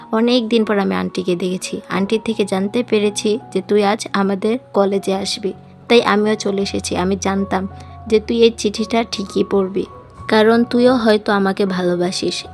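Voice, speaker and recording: A woman's voice: medium pitch, a little mellow, close to an everyday voice. A woman voicing a heartbroken, longing young woman in a romantic story, informal and pleading.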